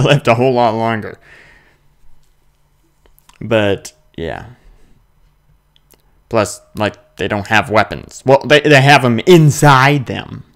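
Excitable young man with animated pitch. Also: creaky. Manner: excitable, exclamatory, mildly crude. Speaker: gaming video host. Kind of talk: casual gameplay commentary.

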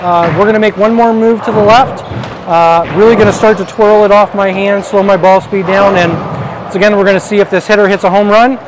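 Middle-aged man, higher voice, noisy bowling alley. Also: steady tone, a little fast. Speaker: bowling ball reviewer. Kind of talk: casual product review commentary while demonstrating. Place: large indoor bowling alley.

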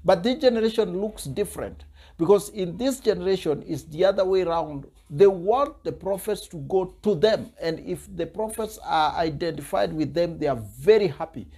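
A husky man in his thirties speaks to camera as host of a religious channel. His voice sits a little high, and he builds his points with a preacher's emphasis, padding them with uh. He is recorded at a desk in a small indoor studio. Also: lively intonation, moderate pace, warm tone. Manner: emphatic, preacherly, with many uh fillers. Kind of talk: commentary to camera.

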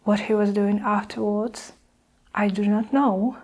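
A young woman playing a guarded, defensive nurse under questioning in an improvised ASMR roleplay, measured and halting as she justifies herself. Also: medium pitch, fairly even intonation, a little mellow. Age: young adult. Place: small indoor room with curtain backdrop. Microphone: clip-on lapel mic.

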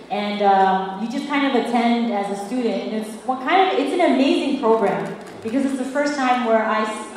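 A woman poet from the Marshall Islands speaking as a panel guest, relaxed and reflective, on an auditorium stage. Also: mid-range voice, slight background noise. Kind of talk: conversational storytelling on a panel. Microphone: handheld mic, far camera. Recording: echoey hall from far off.

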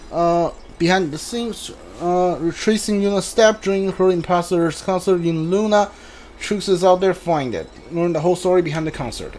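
High-voiced man muttering through a game playthrough. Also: a little mellow, slight background noise. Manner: halting, mutters to himself, reads guide and subtitles aloud. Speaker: video game streamer. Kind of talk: casual playthrough commentary, thinking aloud.

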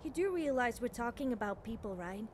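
A woman's voice: fairly high pitch, animated, fairly quick, slight background noise, natural voice. A young woman playing an eager, nervous, cheerfully amoral witch student in video game dialogue, chatty and girlish, often hesitating and backtracking. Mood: squeamish.

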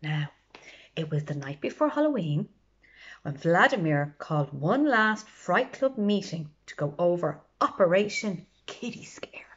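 A woman reading aloud to children in an expressive way, adding chatty asides as a storytime librarian. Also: fairly low pitch, unhurried, dull audio, a little hiss or hum. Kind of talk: animated children's read-aloud.

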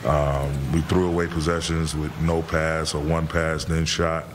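A middle-aged man, a head coach, answering reporters' questions after a game in a measured way, with many fillers and repeated words. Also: rumbling low, level tone, background noise. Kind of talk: postgame press conference answers. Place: press room in front of a sponsor backdrop.

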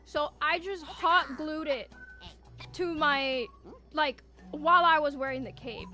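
A woman's voice: sits high, slow pace, pushed and bright, soft background music. A woman livestreaming games, chatting casually and teasingly with viewers, with playful theatrical emphasis. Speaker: VTuber game streamer. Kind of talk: casual livestream chat, reacting to viewers' messages.

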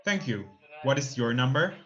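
A man's voice: mid-range voice, animated, moderate pace, fairly bright tone, muffled recording, faint music behind, close to an everyday voice. A man playing a businesslike long-distance telephone operator in a radio play, formal, procedural and detached.

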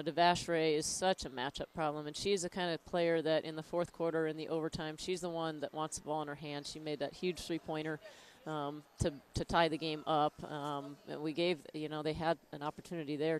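A woman's voice: low voice, level tone, fairly quick, few pauses, a little hiss or hum, low-quality recording. A tired American woman in her thirties, a basketball coach giving a post-game interview on a headset mic. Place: courtside in an indoor gym.

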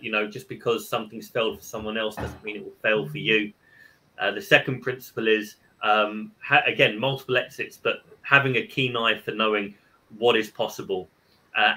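A man with a bright, forceful voice explains his points on a video call. He talks emphatically in a casual webinar style. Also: mid-range voice, poor audio. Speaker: webinar co-host.

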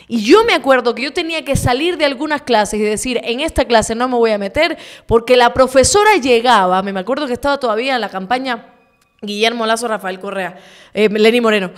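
A woman in her thirties with an Ecuadorian accent, hosting a political talk show and venting with indignation. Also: high-pitched. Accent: Ecuadorian Spanish. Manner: indignant, emphatic, swears. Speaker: show host. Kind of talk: heated political commentary. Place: home studio, indoors. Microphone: desk mic on stand, video call.

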